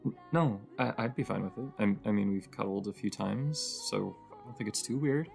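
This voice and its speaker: A woman's voice: moderate pace, darkish tone, faint music behind. A woman playing a cozy, teasing young roommate with a shy crush in an intimate audio roleplay, her voice sleepy and grumbly. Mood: shy, tender. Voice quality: sleepy, grumbly low voice with creak.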